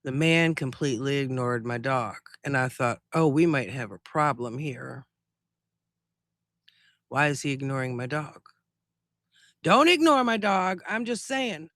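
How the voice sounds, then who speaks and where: A man's voice: mid-range voice, animated, a little slow, long pauses, a bit bright, no echo, studio quality. A man hosting a live stream in a casual, chatty style, telling things in a rambling, conversational way.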